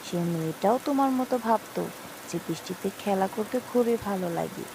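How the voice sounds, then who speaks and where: A woman's voice: mid-range voice, expressive, brisk, noisy background. A woman narrating a scripted cartoon cautionary story, speaking to a child in an admonishing way. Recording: rain sounds over voice.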